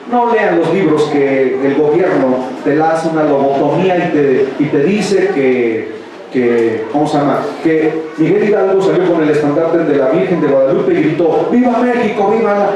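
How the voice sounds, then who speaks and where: Mexican pastor preaching in an echoey hall. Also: male voice, fairly high pitch. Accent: Mexican Spanish. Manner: emphatic preaching, rhetorical questions to the congregation. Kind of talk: sermon. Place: large church hall with congregation. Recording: echoey hall, distant mic, congregation audible.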